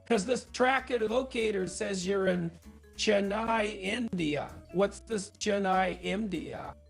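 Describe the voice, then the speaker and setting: A man voicing a befuddled, indignant phone caller through a voice changer, halting, repetitive and argumentative on an improvised prank call. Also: high voice, gravelly, soft background music, heavily acted. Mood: incredulous. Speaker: scambaiter.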